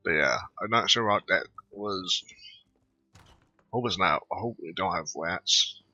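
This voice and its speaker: A man giving relaxed, rambling commentary while playing a game. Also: deep voice, a little slow, no echo, dull audio. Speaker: gamer. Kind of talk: casual gameplay commentary.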